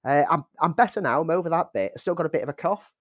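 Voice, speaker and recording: A man's voice: on the high side, expressive, rapid-fire, no echo, muffled recording. Chatty English man, dry close mic. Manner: rambling, self-deprecating storyteller. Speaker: podcast co-host. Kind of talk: casual chat.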